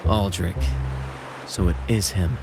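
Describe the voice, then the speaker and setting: A man's voice: deep voice, steady tone, takes it slowly, creaky, heavily acted. An actor playing a suave, playful adventurer with a showman's flair in a video game, speaking in a flowery, genteel, teasing way. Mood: smooth, probing. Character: suave, theatrical, playful male adventurer of the stage. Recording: music behind the voice.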